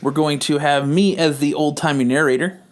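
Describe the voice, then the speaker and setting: A man's voice: fairly high pitch, fairly even intonation, moderate pace. Man hosting with casual, jokey banter. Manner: jokey, profane. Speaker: podcast host. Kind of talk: casual banter introducing a comedy reading.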